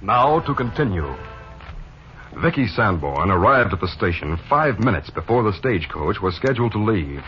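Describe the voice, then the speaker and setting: An American man narrates a 1950s radio drama. His voice is fairly low with wide, expressive swings. Music plays behind him on a muffled recording. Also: moderate pace. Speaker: announcer and narrator.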